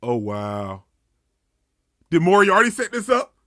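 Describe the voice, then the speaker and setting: Guy with a slightly high voice doing casual reaction commentary, no room echo at all. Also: big pitch swings, slow pace, sharp tone, studio quality. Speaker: anime reaction host.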